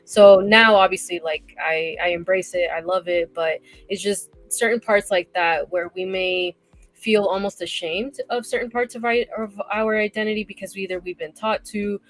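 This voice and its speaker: A woman's voice: mid-range voice, fairly even intonation, moderate pace. A woman co-hosting a podcast, talking casually and personally and often checking for agreement. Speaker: podcast co-host. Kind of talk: casual discussion, personal sharing.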